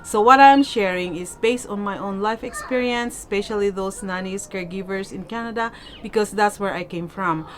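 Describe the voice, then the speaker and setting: A woman's voice: medium pitch, moderate pace. A woman with a Filipino accent chatting casually as a vlogger in non-native English, emphatic and repetitive, often checking in with "okay?". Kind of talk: casual question-and-answer vlog chat. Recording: noticeable background noise.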